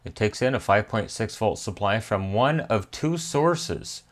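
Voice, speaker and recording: A man's voice: on the low side, lively intonation, unhurried. A man hosting a hands-on repair tutorial, talking methodically step by step and thinking aloud as he works. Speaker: repair channel host. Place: indoor electronics workbench.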